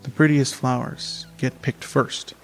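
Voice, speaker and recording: A man playing a gentle, reassuring young taxi driver in an amateur radio drama, speaking haltingly. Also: medium pitch, level tone, natural voice, rough recording. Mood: gentle, reflective. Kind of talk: amateur radio theatre acting. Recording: faint music behind the voice.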